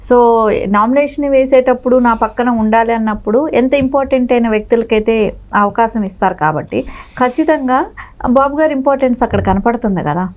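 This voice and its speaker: A woman's voice: fairly high pitch, fairly quick. A woman speaks as a political spokesperson. Her commentary is conversational but emphatic, repeating points to insist on them. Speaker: political party spokesperson, interview guest.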